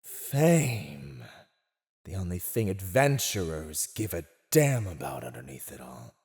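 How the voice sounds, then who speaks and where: An actor playing a silver-tongued, condescending fantasy villain who teases and mocks a prisoner in a scripted ASMR roleplay monologue. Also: male voice, deep voice, big pitch swings, slow pace, vocal fry, darkish tone, heavily acted. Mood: bitter mockery. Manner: theatrical, condescending, teasing.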